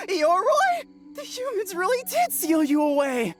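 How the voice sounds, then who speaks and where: High, swooping little tanuki spirit. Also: female voice, wildly swooping pitch, takes it slowly, faint music behind, heavily acted. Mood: shocked. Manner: gasping, exclaiming, childlike. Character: excitable young tanuki spirit, childlike and naive. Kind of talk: video game voice acting.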